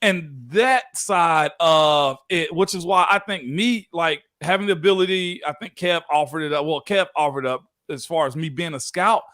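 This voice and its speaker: A laid-back man in his thirties talks sports as a co-host, easygoing and chatty, drawing out his phrases. His voice sits high for a man. The sound is dry and close. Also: normal speed, few pauses, fairly bright tone, no room sound. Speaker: co-host, former football player. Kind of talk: casual sports talk.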